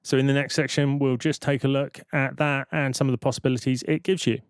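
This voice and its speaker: A man giving an informal, step-by-step software demonstration as a tutorial presenter. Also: medium pitch, level tone, fast talker, clear, resonant tone, dry recording, clean studio sound.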